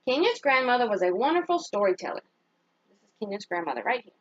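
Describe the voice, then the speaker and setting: A woman reading a children's story aloud as a teacher would, pausing to put simple questions to young listeners and praise their answers. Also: on the high side, very animated, lo-fi sound.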